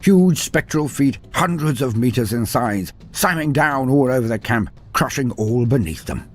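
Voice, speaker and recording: A man's voice: mid-range voice, lively intonation, normal speed, faint music behind. A man giving scripted dramatic narration of fantasy war lore in a theatrical, wry storybook manner.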